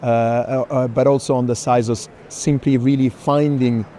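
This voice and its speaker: A man's voice: mid-range voice, little pitch movement, normal speed, dark tone. A man moderating a walking conversation at an art fair, searching for phrasing and often ending on a check question. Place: indoor art fair, walking among stands. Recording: faint busy fair hall noise.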